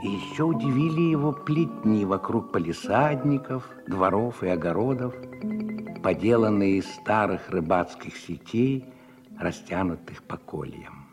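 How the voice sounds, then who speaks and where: A man narrates a literary radio play. His mid-pitched voice moves with very lively intonation as he reads the scripted prose, and faint music sits behind him.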